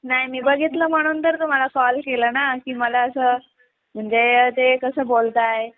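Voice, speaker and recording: A woman's voice: sits high, flat delivery, moderate pace. A woman on a phone call, mildly reproachful and a little evasive as she makes her complaint. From Badlapur, Mumbai area, India. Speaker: caller. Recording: narrow tinny phone-line sound.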